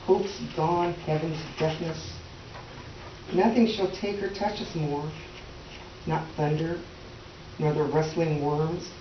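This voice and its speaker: A woman playing a weary, resigned tenant farmer's wife in a solemn, lyrical staged reading. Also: low-pitched. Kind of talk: readers theater dramatic monologue. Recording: echoey room, distant mic, noisy, dull.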